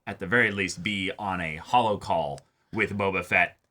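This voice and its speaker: A man's voice: low voice, normal speed, sharp tone. A man co-hosting a reaction show, casually discussing a TV episode in an emphatic, eager way.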